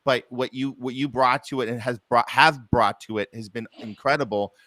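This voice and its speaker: A man's voice: mid-range voice, steady tone, moderate pace, pushed and bright, no echo. Dry-sounding man, effusive podcast host. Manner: effusive, appreciative, rambling. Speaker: podcast host, theater producer. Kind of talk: casual interview chat.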